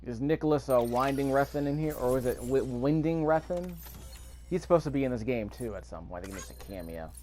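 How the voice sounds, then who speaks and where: A man's voice: medium pitch, expressive, brisk, warm tone, a little hiss or hum. Offhand man muttering game commentary. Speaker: video game player recording commentary. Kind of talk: casual let's play commentary. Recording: game sound under the voice. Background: video game ambience.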